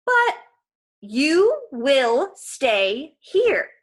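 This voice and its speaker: Woman doing strict scary teacher lady from a kids book, fake sweet and bossy, highish voice. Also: very animated, drawn-out words, heavily acted. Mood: stern, menacing. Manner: barking commands, falsely sweet. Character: stern, menacing female teacher, sickly-sweet and snappish.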